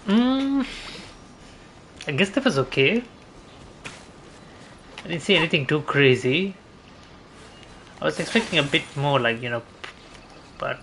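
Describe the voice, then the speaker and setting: A man's voice: medium pitch, gravelly, sharp tone, a little hiss or hum. A man giving casual gameplay commentary, thinking aloud in a hesitant mutter. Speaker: game streamer. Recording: game music behind the voice. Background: video game music.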